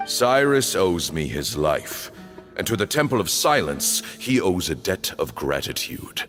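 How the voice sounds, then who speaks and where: An actor playing a stern, accusing old temple leader in a video game, with imperious, deliberate, theatrical delivery. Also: male voice, low voice, very animated, fairly bright tone, faint music behind, exaggerated voice. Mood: demanding. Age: older. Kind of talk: dramatic video game voice acting.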